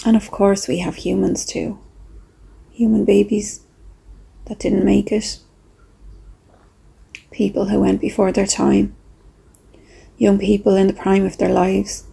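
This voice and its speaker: A woman speaking softly and reflectively with pauses, in a breathy, husky voice, as she leads a gentle guided meditation. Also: medium pitch, level tone, rough recording. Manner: slow, soft, reflective, with pauses.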